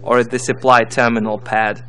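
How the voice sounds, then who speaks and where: A man's voice: medium pitch, flat delivery, normal speed, bright, forceful tone. A man giving scripted, step-by-step instructional narration as the narrator of a tutorial.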